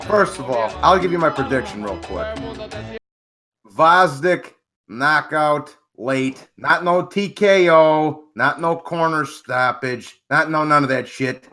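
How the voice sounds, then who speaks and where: A man's voice: fairly high pitch, takes it slowly, sharp tone, faint music behind. A man calling in to a podcast, talking casually in a rambling, emphatic way full of swearing. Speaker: caller. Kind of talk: casual call-in chat.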